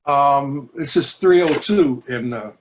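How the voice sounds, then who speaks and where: A man, speaking in a measured, deliberate way with pauses, discussing and reading poetry. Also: medium pitch, a little hiss or hum, rough recording. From New Orleans, United States. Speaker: book editor and poetry reader.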